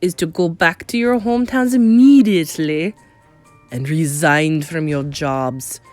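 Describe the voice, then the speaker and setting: A woman's voice: low voice, no room sound, soft background music. A woman voicing a tyrannical, venomous witch in a children's audiobook, screeching and thundering her commands. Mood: commanding. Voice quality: screeching, harsh. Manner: thunderous, drawn-out, commanding, broken foreign-style grammar. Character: tyrannical, venomous Grand High Witch, female villain.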